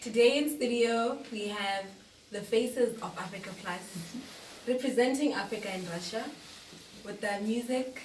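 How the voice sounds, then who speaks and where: A woman hosting a casual group interview, chatty and quick to agree with her guests. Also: mid-range voice, slight background noise. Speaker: host. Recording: echoey room, mic at a distance.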